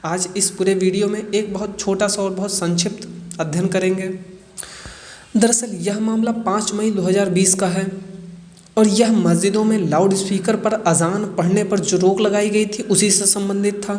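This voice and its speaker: A man's voice: high voice, fairly even intonation, normal speed, darkish tone. A man giving an explanatory current-affairs lecture in a didactic way, posing rhetorical questions and answering them himself. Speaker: educational explainer presenter. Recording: echoey reverberant room.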